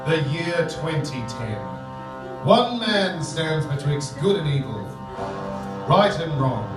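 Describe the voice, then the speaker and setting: Man playing a grandiose, slow, swooping storybook narrator. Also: fairly high pitch, big pitch swings, over music. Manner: grandiose, dramatic. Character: pompous male narrator fancying himself a caped hero. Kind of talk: theatrical scripted narration. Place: theatre stage, live performance. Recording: echoey theatre stage from far off.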